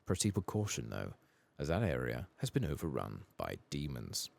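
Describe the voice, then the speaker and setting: Man softly reading as a deep, solemn temple guardian. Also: big pitch swings, normal speed, gravelly, exaggerated voice. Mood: reverent, grave. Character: solemn, devout temple guardian of a fantasy game. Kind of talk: soft-spoken reading of video game dialogue.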